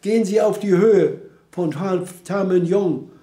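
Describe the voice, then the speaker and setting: Old guy doing a snooty colonel talking down at you, dark and a bit echoey. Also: sits high, a little slow. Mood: condescending. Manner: condescending, from above. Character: haughty older male army colonel.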